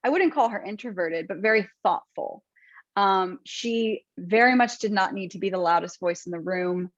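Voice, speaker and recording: A woman interview guest talking thoughtfully, with many pauses, ums and restarts. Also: mid-range voice, dry recording. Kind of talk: conversational interview. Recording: dry, muffled lo-fi sound.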